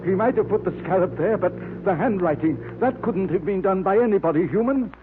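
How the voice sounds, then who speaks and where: An actor playing a frightened, superstitious Egyptologist in 1940s radio style, agitated and fretful. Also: male voice, high-pitched, expressive, brisk. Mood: fearful, insistent. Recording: tinny, faintly noisy vintage radio recording.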